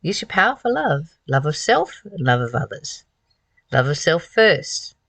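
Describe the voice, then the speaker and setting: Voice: mid-range voice, sing-song, a little slow, gravelly, a bit bright, no echo. A host reading aloud from a spiritual book and commenting on it, earnest and emphatic, with repeated phrases and rhetorical questions.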